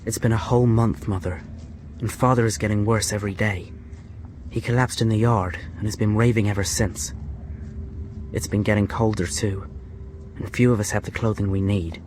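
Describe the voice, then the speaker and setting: A young prisoner, an earnest and worried son, played by a man in a radio drama. The voice is on the low side and somber, with clear ambient noise behind it. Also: steady tone, moderate pace, a little mellow, close to an everyday voice. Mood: somber, reflective. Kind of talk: radio drama acting with letter narration.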